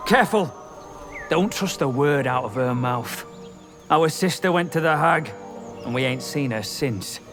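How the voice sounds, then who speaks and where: A man's voice: a little high, animated, measured pace, pauses often, over music. A man playing a hot-headed, distrustful country brother in a video game, blunt and urgent, with a British accent. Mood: accusing. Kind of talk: video game character acting.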